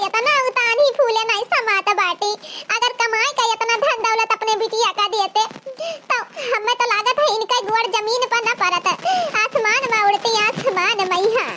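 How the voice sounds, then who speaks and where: A performer voicing a squeaky young village daughter-in-law in an Awadhi cartoon comedy, whining and lamenting dramatically in an Awadhi accent. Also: pushed and bright, slight background noise.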